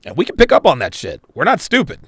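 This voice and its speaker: A man's voice: fairly high pitch, huge pitch swings, fast talker, husky, no room sound, clean studio sound. A man hosting a live reaction stream, blunt and crude, swearing and talking to his chat viewers. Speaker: podcast host reacting to a clip.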